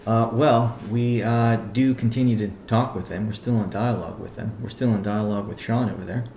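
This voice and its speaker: A man speaking as a company chief executive on a conference call, answering questions in a measured, deliberate, halting way. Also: on the low side, fairly even intonation, mellow, reverberant, a little hiss or hum.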